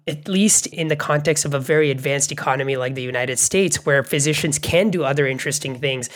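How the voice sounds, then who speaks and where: A man from Bangladesh co-hosting a podcast interview, speaking in a reflective, measured way with frequent restarts. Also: fairly high pitch. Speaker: podcast co-host. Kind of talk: conversational interview.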